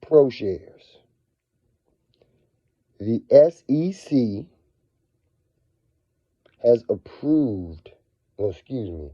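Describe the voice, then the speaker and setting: A man with a cold, his voice scratchy and raspy, talking casually as a finance vlogger. He swings between low murmurs and excited bursts. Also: dry recording. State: hoarse from a cold. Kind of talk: casual video monologue. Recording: dull, lo-fi sound.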